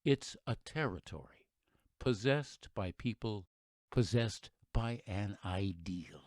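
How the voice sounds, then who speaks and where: A man with an American accent playing an earnest, reflective radio narrator, delivering a scripted patriotic monologue in a measured, oratorical way. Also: on the low side, expressive, darkish tone, no echo, clean studio sound. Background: music behind the voice.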